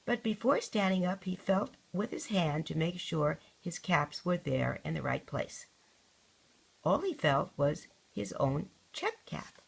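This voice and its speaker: An older woman reading a children's story aloud as a grandmother would, with deliberate, dramatic emphasis. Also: on the low side, sing-song, gravelly, slight background noise, rough recording.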